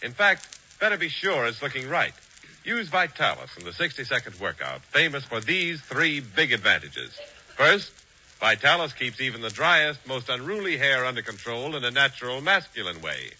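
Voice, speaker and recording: A man's voice: mid-range voice, sing-song, moderate pace, pushed and bright, husky. A man working as a radio announcer, reading scripted advertising and credits in a 1940s announcing style. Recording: muffled 1940s radio broadcast, music and audience behind.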